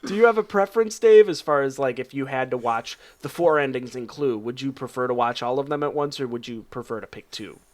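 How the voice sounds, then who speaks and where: A man's voice: mid-range voice, brisk, few pauses. A man hosting an improv game show, joking casually and laughing often. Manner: laughs often, trails off and restarts. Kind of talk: casual comedic banter.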